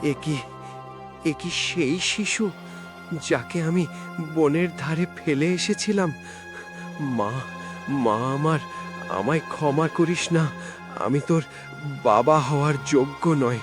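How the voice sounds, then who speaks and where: Storybook king performed by a man: remorseful father, emotional, slow, music clearly behind. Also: fairly high pitch. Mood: anguished remorse. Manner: halting, emotional, broken phrases. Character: guilt-stricken fairy-tale king and father. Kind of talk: melodramatic cartoon acting.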